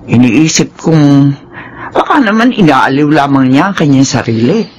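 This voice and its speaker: A man's voice: a little high, big pitch swings, moderate pace, husky, highs cut off. A man voicing a concerned, advising mother of a grown son, with a Filipino accent, in a radio drama. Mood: thoughtful.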